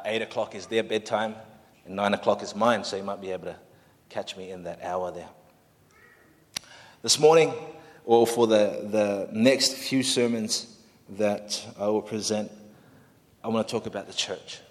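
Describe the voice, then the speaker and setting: A man in his thirties from Samoa, Samoan his first language, giving a friendly, chatty introduction to a congregation as their new pastor, with self-deprecating asides. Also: fairly low pitch. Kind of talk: sermon introduction. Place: church hall. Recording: long hall reverb in a church.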